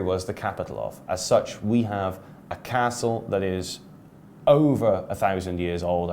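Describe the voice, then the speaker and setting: A man with an English accent who teaches English, talking casually to his students with chatty asides and quick self-corrections. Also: deep voice, dark tone, a little hiss or hum. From England. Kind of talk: casual spoken lesson to students.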